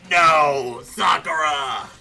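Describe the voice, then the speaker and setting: A man's voice: on the high side, drawn-out words, creaky, bright, forceful tone, raised voice, soft background music, heavily acted. A man voicing an earnest, anxious teenage schoolboy who narrates his own mystery in a visual novel read-aloud, with dramatic expression. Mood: horrified shouting.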